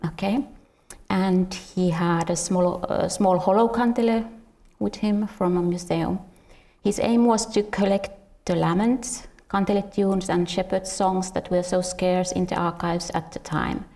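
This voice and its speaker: A woman's voice: on the low side, steady tone, a little slow, dark tone. A woman with a Finnish accent gives an academic lecture, partly read from a script, stumbling and repeating words now and then. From Finland. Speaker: researcher and musician presenting at a conference.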